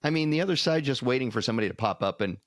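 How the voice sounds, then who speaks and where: A man chatting as a podcast co-host in a folksy, storytelling way. His voice sits at a middling pitch. The sound is close and dry, with hardly any room on it. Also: expressive, racing, no echo. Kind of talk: casual podcast chat.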